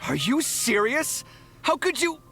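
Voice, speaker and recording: A man's voice: very high voice, big pitch swings, measured pace, creaky, bright, forceful tone, soft background music, exaggerated voice. An actor playing a dramatic, fussy young man in a video game, theatrical, flustered and easily offended. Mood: indignant. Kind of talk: video game character dialogue.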